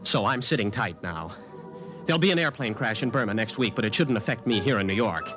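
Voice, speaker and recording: A man's voice: fairly low pitch, animated, brisk, music in the background, close to an everyday voice. A man playing a nervous, obsessive young man who narrates his ordeal with agitated intensity in 1950s radio acting. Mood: anxious resolve. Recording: old 1950s radio broadcast.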